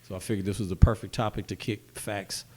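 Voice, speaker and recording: A man's voice: lowish voice, flat delivery, fast talker, dark tone. A man hosting a podcast panel, introducing guests and asking short questions. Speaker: podcast host.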